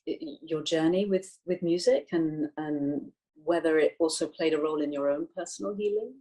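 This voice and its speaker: A woman's voice: low voice, moderate pace, dark tone, rough recording. A woman interviewing a guest, asking questions in a hesitant, stammering way.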